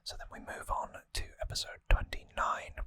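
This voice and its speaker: An ASMR host whispering breathily and close to the microphone, explaining things softly and informally with some hesitation. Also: moderate pace. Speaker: host of a trivia ASMR show. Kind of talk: ASMR whispered episode recap.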